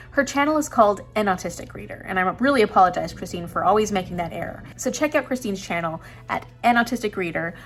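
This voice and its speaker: A young woman chatting casually in an upbeat, enthusiastic vlog, laughing often. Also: mid-range voice. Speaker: booktuber.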